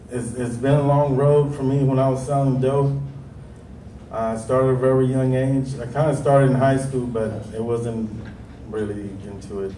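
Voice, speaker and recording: A man in his thirties from Stockton, California with a deep voice, telling his life story on a panel, nervous and hesitant with many pauses. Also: mellow, reverberant, far from the mic, slight background noise, low-quality recording. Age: 30s. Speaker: panel speaker. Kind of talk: nervous personal testimony. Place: large hall.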